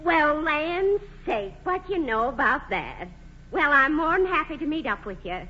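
Through a muffled vintage radio broadcast comes a folksy woman. Her high voice lilts widely over homely, plain-spoken phrases. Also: very animated, measured pace, sharp tone, full character voice. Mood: chatty, homespun. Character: plain-spoken, folksy small-town New England women. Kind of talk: 1940s radio play acting.

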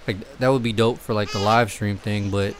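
A man's voice: lowish voice, steady tone, a little fast, slight background noise. A young man co-hosting a casual podcast chat, rambling and swearing freely. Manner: rambling, profane, laid-back. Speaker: podcast co-host. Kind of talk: casual chat among friends.

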